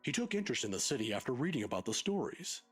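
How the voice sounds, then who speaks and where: A man's voice: medium pitch, very animated, fast, slightly rough, faint music behind. An actor playing a kindly, patient old male professor in a video game, scholarly, pedantic and given to chuckling. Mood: patient, explaining. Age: older.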